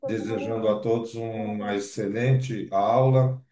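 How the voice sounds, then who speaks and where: A man's voice: lowish voice, steady tone, echoey room, a crowd in the background. A man giving formal, hesitant opening remarks on behalf of a university. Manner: slow, hesitant. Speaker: university representative.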